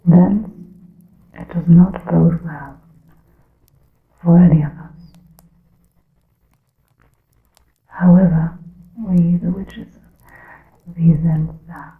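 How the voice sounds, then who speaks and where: A hushed, muffled, echoing recording carries a woman acting as a persecuted witch from centuries ago. She whispers very slowly in a breathy, crackling voice, as in ASMR storytelling. Her phrasing is formal and archaic, with a wry edge. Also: on the low side, fairly even intonation. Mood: somber, resigned. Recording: muffled narrow-band lo-fi, echoey.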